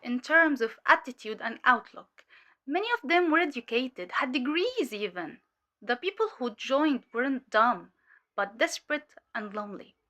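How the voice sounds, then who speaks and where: A woman playing a weary, sharp undercover cult infiltrator giving hesitant, measured testimony in an audio drama. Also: high-pitched, animated. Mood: matter-of-fact.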